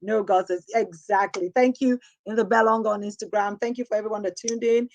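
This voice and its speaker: Husky-voiced woman giving upbeat encouragement. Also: mid-range voice, fairly even intonation, a little fast, a little mellow. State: hoarse from a sore throat. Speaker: host. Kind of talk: motivational talk show sign-off.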